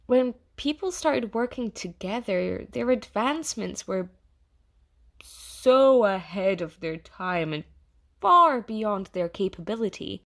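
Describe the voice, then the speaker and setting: Slow-paced, earnest AI voiced by a woman. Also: on the high side, gravelly, full character voice. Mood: wry admiration. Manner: conversational, wry, halting, emphatic pauses. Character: earnest artificial intelligence, fond of yet disappointed in humanity. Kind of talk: audio theatre monologue addressed to the listener.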